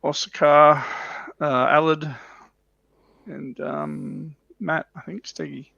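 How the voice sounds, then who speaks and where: A man's voice: a little high, steady tone, slow, creaky, bright, forceful tone, husky, no room sound. A man co-hosting a livestream in casual chat, on a slightly dull microphone. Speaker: livestream co-host.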